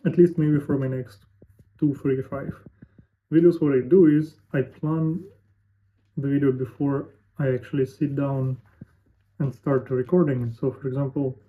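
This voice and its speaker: A man's voice: medium pitch, steady tone, a little hiss or hum. Musing young man, tinny lo-fi sound. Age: 20s. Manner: musing, halting, thinking aloud with fillers. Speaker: vlogger. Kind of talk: unscripted talking to camera.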